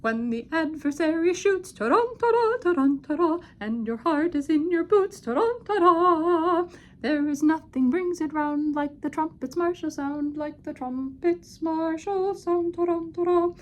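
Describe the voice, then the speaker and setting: A woman's voice: very high voice, animated, unhurried, pitched above the performer's own voice. A woman voicing timid, cowardly comic-opera policemen in a bouncy, singsong puppet-show style. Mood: bouncy, nervous. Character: timid, cowardly policemen singing about their reluctant duty.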